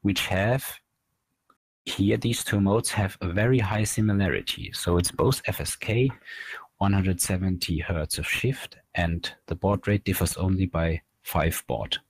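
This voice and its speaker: A man in his thirties presenting a technical slide lecture as a researcher, explaining in a measured, halting way from a small room. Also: deep voice, breathy edge. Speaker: researcher presenting at an online academy.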